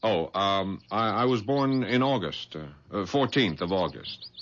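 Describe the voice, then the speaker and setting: A man's voice: on the low side, animated, measured pace, a bit bright, a little hiss or hum. An actor playing a wry private detective who narrates his own story and turns flustered when startled, in a 1970s radio drama. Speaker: narrator and lead. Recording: dull vintage radio with music.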